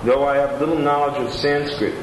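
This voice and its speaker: A man's voice: on the high side, level tone, fairly quick. A man reading letters and reviews aloud, plain and attentive, adding his own asides. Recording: roomy room with clear background noise.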